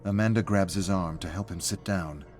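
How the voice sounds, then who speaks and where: A man narrates a scripted story in a very low, deep voice. His delivery is that of a prose reader, with music playing softly behind him. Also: moderate pace.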